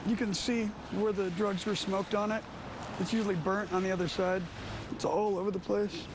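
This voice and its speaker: A man's voice: high voice, fairly even intonation, normal speed. An American man, a street cleanup worker, chatting casually about his job outdoors. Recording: loud street and vehicle noise.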